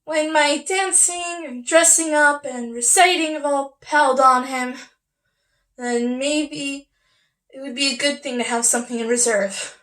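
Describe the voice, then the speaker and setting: A woman's voice: sits high, measured pace, fairly bright tone. A woman performing a stage monologue as a proud, wistful young Victorian housewife, earnest and confiding. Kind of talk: stage monologue from a classic play.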